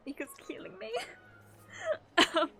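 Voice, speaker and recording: Bright, high-voiced giggly woman streamer. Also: vocal fry. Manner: giggly, rambling. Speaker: streamer reacting to a game. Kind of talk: casual live chat commentary. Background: faint game music.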